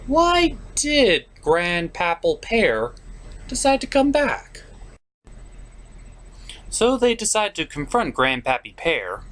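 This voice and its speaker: A man voicing an excitable, sentimental puppet who hosts a cartoon review show with emphatic, theatrical delivery. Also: high voice, exaggerated voice. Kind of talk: lively semi-scripted review commentary.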